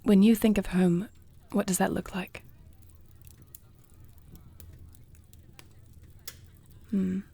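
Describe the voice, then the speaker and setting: Woman playing a gentle warrior queen, mellow and creaky. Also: mid-range voice, little pitch movement, no room sound. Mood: tender, reflective. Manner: soft, measured, formal, breathy at times. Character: compassionate warrior queen in medieval fantasy, earnest and tender. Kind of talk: intimate scripted audio roleplay.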